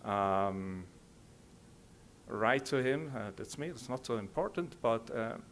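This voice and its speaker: A middle-aged man with a Swiss accent giving an informal slide presentation in a halting, hesitant way. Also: lively intonation. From Switzerland. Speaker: conference speaker. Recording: low rumbling background noise.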